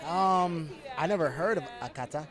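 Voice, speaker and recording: Earnest man with a slightly high voice, crowd around. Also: normal speed. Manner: earnest, casual, searching for words. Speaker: red carpet guest. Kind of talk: red carpet interview. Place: outdoor red carpet event. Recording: outdoor event with crowd.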